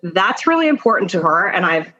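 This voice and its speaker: A woman's voice: lowish voice, sing-song, brisk, pushed and bright, highs cut off. A middle-aged woman giving career advice and telling personal stories as a guest in a conversation, emphatic and encouraging, speaking straight to the listener. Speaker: podcast guest, attorney and mentor.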